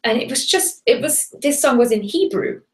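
A woman's voice: sits high, animated, moderate pace. A woman from Germany, a native German speaker, teaching German in a chatty, enthusiastic live online class and greeting viewers from the chat. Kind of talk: live bilingual webinar.

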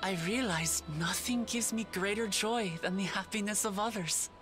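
A woman's voice: mid-range voice, normal speed, over music, lightly acted. An actress playing a young, idealistic lion-woman warrior princess in a video game, speaking earnestly and from the heart. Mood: reflective, sincere. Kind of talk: voiced game cutscene dialogue.

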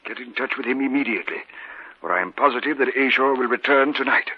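An actor playing a grave, urgent man in a 1950s radio drama, with a husky voice. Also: medium pitch, quick pace, background noise, natural voice. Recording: muffled 1950s radio broadcast.

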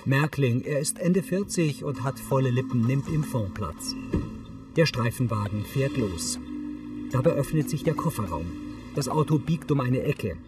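Neutral male narrator, distant over clear music. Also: mid-range voice, expressive, unhurried. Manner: matter-of-fact, neutral delivery. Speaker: audio description narrator. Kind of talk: scripted audio description narration of a TV series.

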